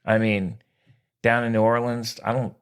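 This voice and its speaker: A man's voice: mid-range voice, little pitch movement, normal speed, clear, resonant tone. A man co-hosting a sports podcast, chatting casually in a folksy, jokey way with slangy exclamations. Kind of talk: casual sports chat, joking banter.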